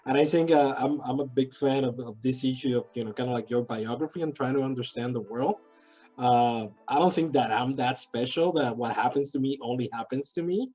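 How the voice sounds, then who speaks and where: A man's voice: medium pitch, a little fast, mellow, slightly rough, faint music behind. A middle-aged man from Puerto Rico, a sociology professor talking as a guest in a casual interview, thoughtful and hesitant with many restarts.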